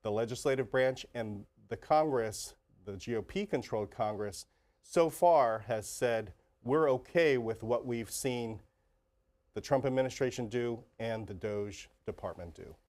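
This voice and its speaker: A man's voice: mid-range voice, measured pace, darkish tone. A male congressional reporter explains a political fight in a TV interview, talking it through with small restarts.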